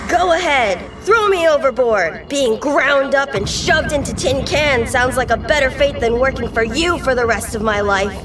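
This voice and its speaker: A brave, earnest little boy in an audio drama. The high, youthful voice is spirited and kind, a little off the mic with music underneath. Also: expressive, moderate pace, hardly any pauses, recorded from a distance. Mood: defiant. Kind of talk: scripted audio drama acting.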